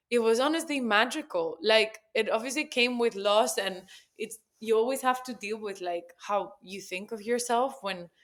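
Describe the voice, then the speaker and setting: A woman in her thirties from Catalonia with a Spanish accent, talking candidly and expressively as a podcast guest. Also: mid-range voice. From Catalonia, Spain. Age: 30s. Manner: candid, expressive, sprinkles in swearing and "like". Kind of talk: casual podcast chat.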